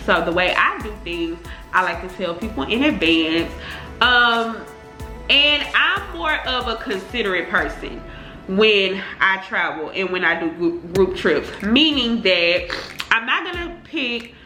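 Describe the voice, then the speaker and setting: A woman's voice: mid-range voice, very animated, a little slow, bright, forceful tone, reverberant, soft background music. A young woman chatting casually to her viewers in informal slang, sounding congested from a cold. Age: young adult. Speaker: beauty vlogger. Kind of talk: casual chatty get-ready-with-me talk.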